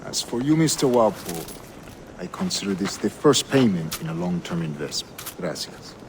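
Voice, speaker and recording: An actor playing a commanding, calculating governor and grandmaster in a video game, speaking formally and with measured grandeur. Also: male voice, deep voice, very animated, noisy background. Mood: measured, courteous. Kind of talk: video game cutscene acting.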